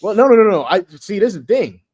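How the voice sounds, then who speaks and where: A man's voice: sits high, big pitch swings, quick pace, darkish tone, slightly rough. A man co-hosting a casual sports podcast, joking and insistent, repeating himself for effect. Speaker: podcast co-host.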